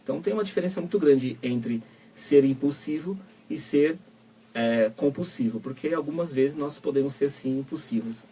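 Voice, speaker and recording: A Brazilian man co-hosting a live web radio talk show, asking framing questions in a measured, teasing way. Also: medium pitch, dark tone, slightly rough, distant mic. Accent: Brazilian Portuguese. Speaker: co-host psychologist.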